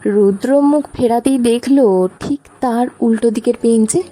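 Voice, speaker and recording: A woman's voice: a little high, unhurried, warm tone. A woman reading scripted narration for a romantic audio story.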